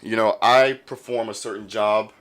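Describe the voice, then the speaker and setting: Guy with a lowish voice hosting a podcast, laid back, informal chat. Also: steady tone, moderate pace, fairly bright tone. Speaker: podcast host. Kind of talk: casual conversational interview.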